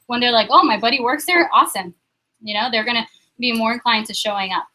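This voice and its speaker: A young woman leading a sales training meeting, upbeat and quizzing the room with quick questions. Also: a little high, bright, forceful tone, slight background noise.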